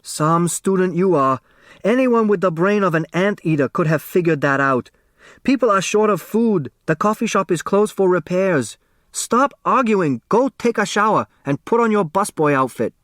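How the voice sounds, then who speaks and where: A man's voice: sits high, expressive, moderate pace, fairly bright tone, dry recording, studio quality, voice pushed up. A man voicing a harried restaurant owner and scolding father in an impatient, barking, complaining way. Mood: irritated, scolding.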